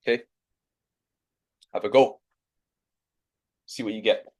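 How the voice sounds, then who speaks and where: A young man with a Yorkshire accent teaching a live online maths lesson, coaxing his students along with steady encouragement. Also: on the low side, takes it slowly. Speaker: maths tutor.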